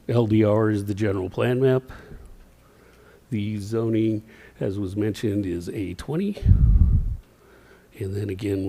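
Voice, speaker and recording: Low gravelly guy on city staff walking the council through a zoning item, mellow tone, steady with some ums. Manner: steady, plain, with fillers. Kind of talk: formal presentation at a council meeting.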